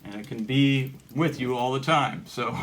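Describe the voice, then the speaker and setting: A man presenting a hobby gear tutorial in a casual, unscripted way, with halting, rambling explanations. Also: medium pitch, fairly bright tone. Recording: noticeable background noise with clicks.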